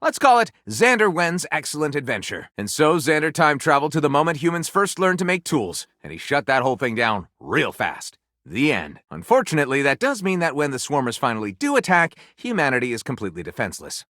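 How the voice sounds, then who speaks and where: A man voicing a flamboyant, excitable, pompous author character for a video game, narrating in a grandiose, melodramatic storytelling style. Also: a little high, brisk, dry recording, clean studio sound, exaggerated voice. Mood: theatrical, wry. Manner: grandiose, melodramatic narrator delivery with gushing exclamations. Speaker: voice actor playing a game character.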